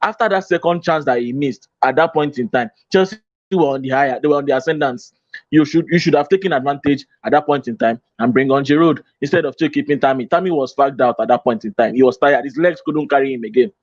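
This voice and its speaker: Dull-sounding man giving measured football analysis. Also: mid-range voice, no echo. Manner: measured, explanatory, repeats points for emphasis. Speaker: football pundit. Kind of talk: studio football discussion.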